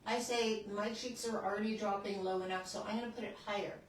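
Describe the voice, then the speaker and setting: A woman's voice: mid-range voice, fairly even intonation, fairly quick, distant mic. A woman in her sixties walks through her makeup routine in a loose, chatty way, often breaking off mid-sentence as she works. Her voice sits back from the mic in a strongly echoing room, with a faint hiss underneath. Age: 60s. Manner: chatty, restarts sentences, talks while applying makeup. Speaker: beauty channel host. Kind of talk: casual makeup tutorial.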